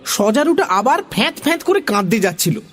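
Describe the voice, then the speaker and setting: A woman narrating a children's story in an expressive, dramatic storytelling style. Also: fairly high pitch, a little fast.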